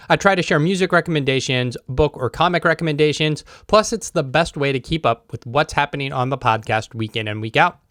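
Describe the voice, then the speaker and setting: A man's voice: on the high side, a bit bright, no room sound, studio quality. A man hosting a music podcast, reading his intro and chatting with a guest in an upbeat, conversational way. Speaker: podcast host. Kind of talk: casual podcast intro and interview chat.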